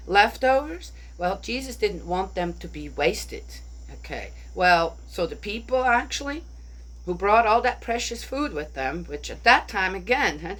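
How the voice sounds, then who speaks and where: A woman's voice: mid-range voice, rough recording. A woman talking casually through a bible story, thinking aloud and often asking her listeners "right?". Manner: chatty, rhetorical, keeps asking "right?". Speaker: bible study speaker.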